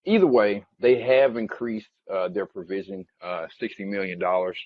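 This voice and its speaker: Man, very deep voice, halting plain-spoken analyst commentary, informal presenter, muffled lo-fi recording. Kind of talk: informal explanatory commentary over earnings slides. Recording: dull, lo-fi voice recording.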